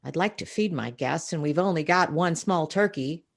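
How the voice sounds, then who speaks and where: A woman's voice: low-pitched, moderate pace, creaky. An actress playing a sensible, exasperated wife and mother in an old-time radio comedy, chiding and practical.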